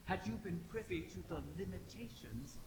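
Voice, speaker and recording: An actress voicing a sly, ancient captive dragon who grants wishes, silky and mocking, with a creature reverb effect in a video game. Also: female voice, fairly low pitch, gravelly. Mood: sly, taunting. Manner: slow, silky, theatrical, taunting. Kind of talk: video game cutscene acting. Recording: long reverb, noisy ambience.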